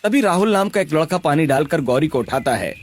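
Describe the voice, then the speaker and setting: A man's voice: fairly high pitch, expressive, brisk. A man reading scripted narration for a cartoon story.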